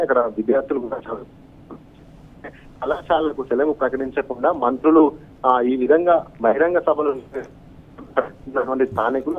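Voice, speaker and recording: A man giving an unscripted live news report as a field correspondent, wordy and halting, over a phone link. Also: medium pitch. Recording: thin, narrow phone-line sound.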